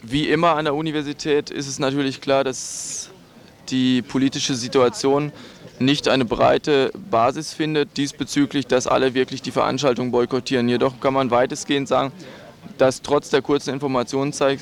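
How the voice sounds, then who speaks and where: A man's voice: mid-range voice, steady tone, normal speed. A man giving interview answers in formal, wordy phrasing.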